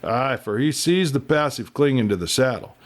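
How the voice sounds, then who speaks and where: A man's voice: medium pitch, animated, normal speed. Man narrating a western tale. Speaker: audiobook reader and storyteller. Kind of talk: expressive narration of a western novel.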